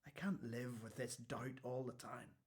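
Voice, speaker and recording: An actor playing an imprisoned prophet in a radio play, weary, testy and full of doubt, with a brooding delivery. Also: male voice, mid-range voice, fairly even intonation, unhurried, natural voice. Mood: anguished doubt.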